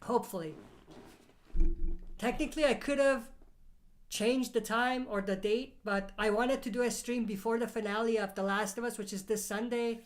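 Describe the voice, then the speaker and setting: A woman with a cold hosts a relaxed live Q&A stream, her voice a bit higher than most. She reads viewer questions aloud and talks through her answers in a loose, chatty way, with ums and uhs along the way. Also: moderate pace. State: has a cold. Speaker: livestream host. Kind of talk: casual live Q&A chat.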